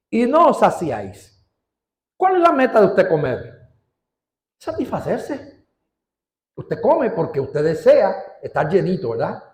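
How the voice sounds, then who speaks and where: A man preaching a sermon as pastor, stretching out key words and rising in pitch for emphasis. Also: a little mellow, echoey room. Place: church.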